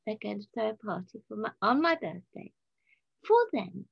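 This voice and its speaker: Husky, creaky woman in her seventies. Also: mid-range voice, expressive, a little fast, dry recording. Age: 70s. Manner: chatty storyteller, quotes people as she goes. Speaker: interview guest, leader of a community organisation. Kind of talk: casual anecdotal interview talk. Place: remote video call. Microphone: video call. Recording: dull, lo-fi video-call audio.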